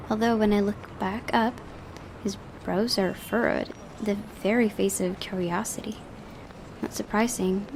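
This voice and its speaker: Female gaming host, creaky voice, medium pitch, story narration with casual asides, faint background noise. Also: a little mellow. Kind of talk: visual novel narration with casual commentary.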